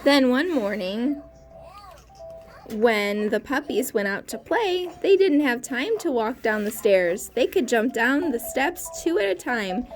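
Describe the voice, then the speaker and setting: A woman teacher reading a storybook aloud to young children, expressive and pausing to ask questions. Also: on the high side, soft background music.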